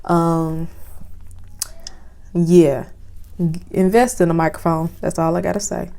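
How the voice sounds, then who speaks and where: Young woman, soft low-ish voice, lots of um and like, casual phone vlog at home with a lapel mic. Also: steady tone, slow pace. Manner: chatty, many fillers like "um" and "like". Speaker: vlogger. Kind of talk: casual product review vlog. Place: home, indoors. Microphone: phone with clip-on lapel mic.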